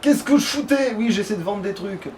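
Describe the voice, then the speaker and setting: A man's voice: high voice, a little fast, a little mellow. A man casually chatting and muttering to himself with swearing while playing a video game as a let's play host. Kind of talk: casual gaming chat. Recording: clear background noise on a home recording.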